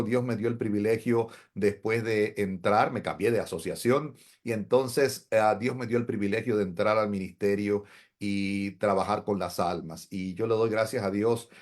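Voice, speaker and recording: A middle-aged man, a pastor, telling his story in an interview in an earnest, reflective way. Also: on the low side. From Dominican Republic. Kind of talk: interview testimony.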